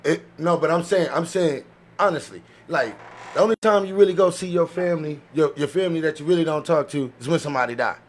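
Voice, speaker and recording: A man's voice: fairly high pitch, fast talker. A man on a discussion panel chatting casually, slangy and anecdotal, with some swearing. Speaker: podcast panelist. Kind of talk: casual chat.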